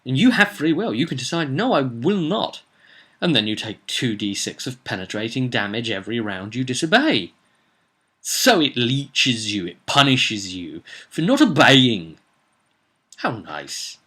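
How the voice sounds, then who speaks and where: A man, a game master, casually recounting a tabletop roleplay session in an animated, conversational way. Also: mid-range voice, a little slow, slightly rough. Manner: animated storyteller, conversational asides and tag questions.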